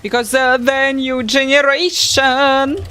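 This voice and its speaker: High-voiced, unhurried woman game streamer. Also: fairly even intonation, bright, forceful tone. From Moldova. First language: Russian. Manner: chatty and blunt, swears freely while playing. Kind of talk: casual live gaming stream chat.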